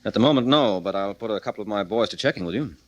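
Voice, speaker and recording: An actor playing a cooperative, brisk police captain in a 1940s radio crime drama. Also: male voice, lowish voice, animated, dull audio, natural voice. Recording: faintly noisy vintage radio recording.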